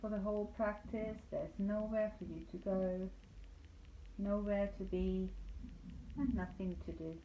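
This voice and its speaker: A woman leading a guided meditation as a yoga nidra instructor, speaking calmly and soothingly with deliberate pauses. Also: mid-range voice. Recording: roomy echo, light background noise.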